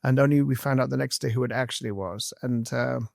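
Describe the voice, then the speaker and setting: A man's voice: mid-range voice, fairly even intonation, brisk, creaky, a little mellow, no room sound, studio quality. A man in his fifties with a mix of English and South African accent, telling his story in an interview, earnest and full of fillers. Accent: mixed English and South African. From South Africa and England. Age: 50s. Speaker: guest, army medic and educator.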